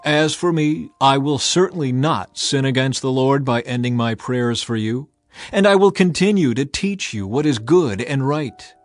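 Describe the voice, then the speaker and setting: A man's voice: medium pitch, expressive, moderate pace, no echo, close to an everyday voice. A man voicing a stern aged male prophet who addresses a nation in a solemn, admonishing way, in a dramatized scripture reading. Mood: reassuring.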